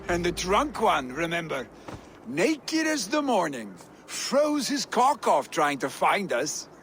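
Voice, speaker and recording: An actor playing a hot-blooded, restless young Viking warrior in dramatic game dialogue. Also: male voice, sits high, huge pitch swings, slow pace, fairly bright tone, slight background noise, heavily acted. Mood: boisterous.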